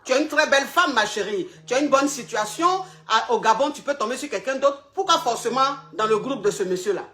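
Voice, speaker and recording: Blunt high-voiced woman giving advice. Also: lively intonation, a little fast, fairly bright tone. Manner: blunt, calls caller "ma chérie", repeats herself. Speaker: advice show host. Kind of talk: live call-in advice chat.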